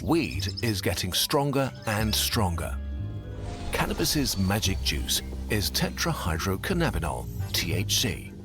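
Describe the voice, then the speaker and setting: A man giving scripted explainer narration with a lively, clear delivery. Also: lowish voice, measured pace. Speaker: educational video narrator. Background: background music.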